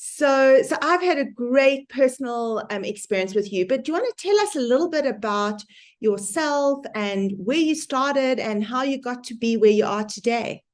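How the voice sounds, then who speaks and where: A woman's voice: a little high, lively intonation, normal speed. A woman hosting an online summit interview, introducing and chatting with her guest in a conversational, appreciative way.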